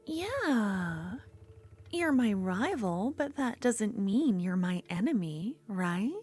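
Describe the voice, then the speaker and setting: A woman playing an obsessive, menacing yet tender duelist rival, bitterly infatuated, in an intimate, halting ASMR fantasy roleplay. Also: mid-range voice, sing-song, darkish tone, breathy edge, soft background music, full character voice. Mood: soothing, possessive.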